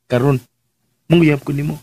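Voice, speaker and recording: A woman playing a lovestruck, clumsy, excitable young suitor in a radio drama, melodramatically wooing with a Filipino Visayan accent. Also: sits low, normal speed, husky. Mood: wooing, dreamy.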